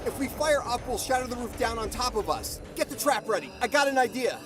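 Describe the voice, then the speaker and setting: A man's voice: very high voice, lively intonation, brisk, background noise. A man playing a cocky, wisecracking monster hunter in a radio drama, wry and quippy. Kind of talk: fan radio drama acting.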